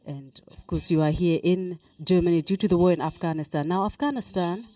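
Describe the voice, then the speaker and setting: A woman interpreting on a panel, with a measured, steady delivery. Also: on the low side, recorded from a distance.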